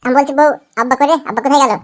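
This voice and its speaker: A woman's voice: high-pitched, steady tone, very fast, natural voice. A woman voicing a feckless, eager young village man with a whiny, insistent manner in a comic cartoon.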